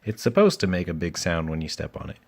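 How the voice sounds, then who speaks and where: A man's voice: sits low, lively intonation, quick pace, vocal fry, darkish tone, heavily acted. A man voicing a friendly young male raccoon in a children's storybook, cheerfully explaining things as a guide. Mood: cheerful, amused.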